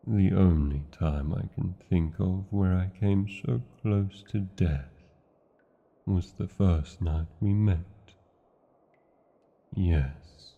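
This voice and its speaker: Tinny phone-like audio, guy doing a brooding british dragon boyfriend for fantasy asmr, super slow and tender, husky. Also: low voice, full character voice. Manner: slow, formal, old-fashioned endearments. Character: tender, brooding adult male dragon lover. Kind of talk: romantic fantasy ASMR roleplay. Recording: muffled, narrow phone-like sound.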